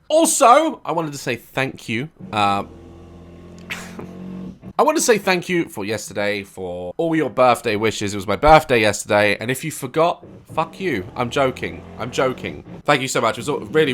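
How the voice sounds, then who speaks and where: A man with a hoarse, sore throat hosting a reaction video, joking and swearing as he comments. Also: medium pitch, fairly bright tone, a little hiss or hum. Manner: jokey, irreverent, swearing.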